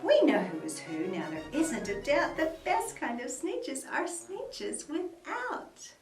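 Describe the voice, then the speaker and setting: A woman voicing a crowd of boastful bird-like storybook creatures, loud and shouting. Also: sits high, big pitch swings, slow, pitched above the performer's own voice, full character voice. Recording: roomy echo, mic at a distance, faint music.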